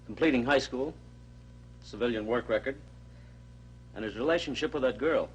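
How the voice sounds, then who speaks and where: An actor playing an army psychiatrist who gives a clinical, measured assessment. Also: male voice, mid-range voice, a little hiss or hum, natural voice. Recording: dull old film soundtrack.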